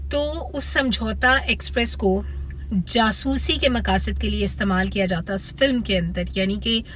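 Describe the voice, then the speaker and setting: A woman's voice: mid-range voice, moderate pace, fairly bright tone, slight background noise. A woman with a Pakistani accent giving a critical, opinionated spoken film review to viewers.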